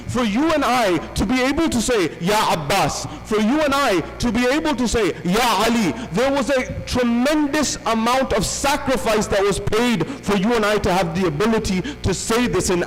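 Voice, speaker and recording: A man's voice: sits high, big-room sound, rough recording. A man giving a religious lecture as a visiting speaker, asking rhetorical questions and repeating phrases for emphasis.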